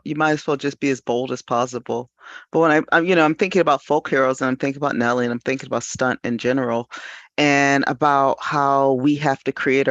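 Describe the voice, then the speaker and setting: A man's voice: mid-range voice, no echo. Male panelist, mid-range, casual and reflective, leans on repetition; muffled, very dry sound. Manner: emphatic repetition of phrases. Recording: dull, lo-fi, dry audio.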